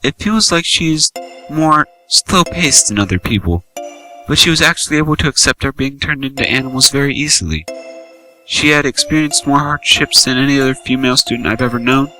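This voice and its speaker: A man acts as a reserved, sharp-tongued teenage boy in an anime-style radio play. His mid-range voice stays composed and curt. Soft music sits behind the words. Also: mid-range voice, normal speed, rough recording. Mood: reflective. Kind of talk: amateur anime-style radio drama acting.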